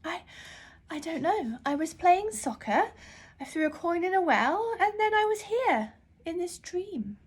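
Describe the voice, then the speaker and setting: A woman's voice: very high-pitched, big pitch swings, unhurried, pitched above the performer's own voice, full character voice. A woman voicing a timid, bewildered schoolgirl in a storybook, speaking in a hesitant, unsure way.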